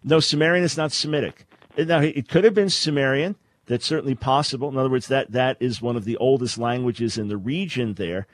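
A man's voice: mid-range voice, a little fast. A man hosting a call-in radio show, explaining Bible languages in a scholarly way with frequent restarts. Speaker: radio talk show host.

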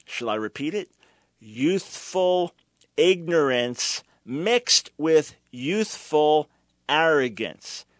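A man's voice: on the high side, expressive, drawn-out words, no echo. Emphatic male commentary host, dry sound. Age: older. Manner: emphatic, preacherly, mocking at times. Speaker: radio show host.

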